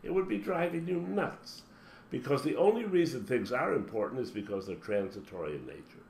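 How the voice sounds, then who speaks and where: A man's voice: mid-range voice, expressive, a little fast, gravelly, darkish tone. A man giving a motivational talk as a spiritual teacher, speaking emphatically and running through long spoken lists. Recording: roomy echo with faint background hum.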